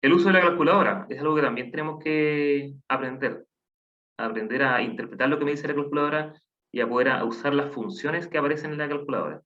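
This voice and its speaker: Patient man teaching math slowly. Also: on the high side, fairly bright tone. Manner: patient, counts aloud slowly, asks the class questions. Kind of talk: online class explanation. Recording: dull lo-fi online class audio.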